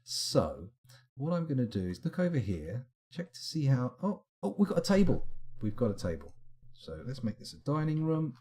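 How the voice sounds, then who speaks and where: A man's voice: mid-range voice, sing-song, normal speed, mellow. A man, chatting and rambling while he streams a game late at night and answers his chat, sounding tired. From England. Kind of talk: casual live-stream chat.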